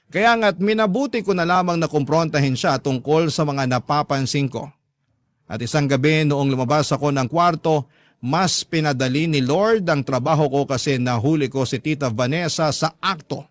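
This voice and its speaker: A man's voice: on the high side, dry recording. A man with a Filipino accent narrating a first-person story as a radio host, in an earnest, confiding and polite way.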